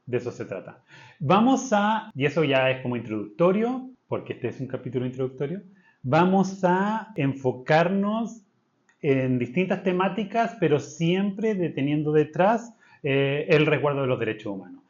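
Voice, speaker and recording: A man's voice: fairly high pitch, animated, moderate pace. A man from Chile, co-hosting a podcast in casual chat. He thinks aloud with restarts and states his principles firmly. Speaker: podcast co-host. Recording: slightly dull lo-fi sound.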